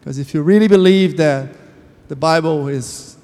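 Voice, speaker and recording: A man's voice: on the high side, moderate pace, darkish tone. Man in an echoing church. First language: Portuguese. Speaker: church member sharing a testimony. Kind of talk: informal church testimony. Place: church hall. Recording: long hall reverb.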